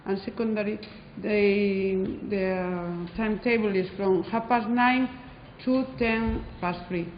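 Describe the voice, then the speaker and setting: A woman's voice: medium pitch, steady tone, slow pace, hardly any pauses, slight background noise. A woman from Spain with a Spanish accent, a head teacher answering interview questions in English hesitantly, with many fillers. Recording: roomy hall, dull lo-fi sound.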